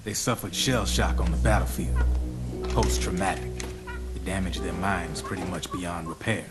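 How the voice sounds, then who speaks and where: An American actor playing a slick, talkative arms dealer in a video game cutscene, with streetwise, theatrical, drawn-out phrasing. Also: male voice, low voice, fairly even intonation, close to an everyday voice. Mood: grave, dramatic. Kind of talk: video game cutscene acting, explanatory monologue. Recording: loud music over the voice.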